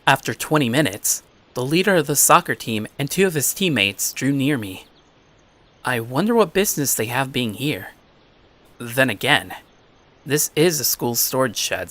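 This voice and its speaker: A man playing an anxious, introspective high school boy who narrates his own story. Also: sharp tone. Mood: puzzled. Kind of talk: first-person scripted story narration. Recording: music under the voice.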